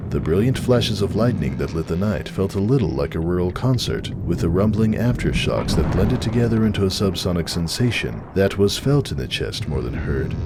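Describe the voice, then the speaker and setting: A man's voice: deep voice, noisy background. A man reading fan fiction as a narrator, in a dramatic storybook style.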